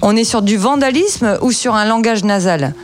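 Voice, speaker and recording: A woman on morning radio telling a comic story as a columnist, animated, with mock-outraged asides. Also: a little high, normal speed.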